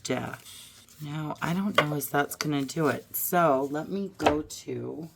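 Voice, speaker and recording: A hoarse woman getting over a cold, musing aloud as she pulls and reads tarot and oracle cards. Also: very deep voice, noisy background. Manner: musing aloud, drifting to soft murmurs. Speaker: tarot reader. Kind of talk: casual card-reading vlog.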